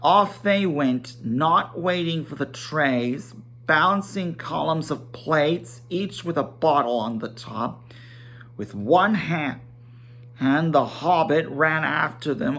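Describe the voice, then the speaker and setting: A man giving a dramatic storybook reading, halting at times and correcting himself as he narrates. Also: on the high side, fairly bright tone. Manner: halting, stumbling over words and correcting himself.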